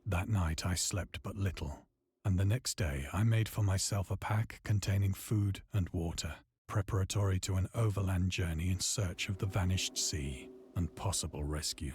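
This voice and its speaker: A man voicing a lone castaway in a game, narrating his ordeal in a grave, measured way over game music. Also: low voice, a little hiss or hum, lightly acted. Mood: somber. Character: lone male castaway recounting dread, solemn. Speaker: narrator of a visual novel. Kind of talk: scripted literary narration. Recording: game audio under loud music.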